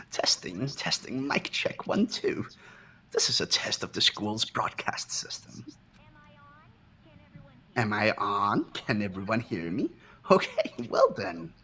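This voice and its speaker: A man voicing a smug, playful villain announcer in a game, drawn-out and mock-formal. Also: on the low side, wildly swooping pitch, sharp tone, a little hiss or hum, exaggerated voice. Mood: playful, unconcerned. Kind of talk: amateur game character acting.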